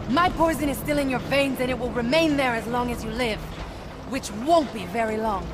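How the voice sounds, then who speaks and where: Woman playing a high-voiced, menacing poisoner. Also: a little fast, background noise, natural voice. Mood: taunting. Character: menacing, taunting female poisoner assassin. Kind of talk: cinematic video game acting.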